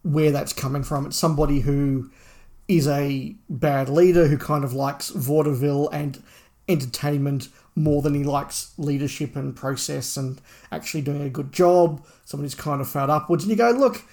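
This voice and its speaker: A man's voice: on the high side, moderate pace, darkish tone. Man chatting through a book review. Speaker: podcast co-host. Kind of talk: casual book review discussion.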